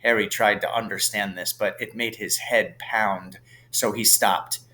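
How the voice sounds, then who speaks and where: A man's voice: lowish voice, steady tone, unhurried, bright, forceful tone, low-quality recording. A man reading a children's novel aloud in scripted storybook narration. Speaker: reader. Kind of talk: audiobook-style storybook narration.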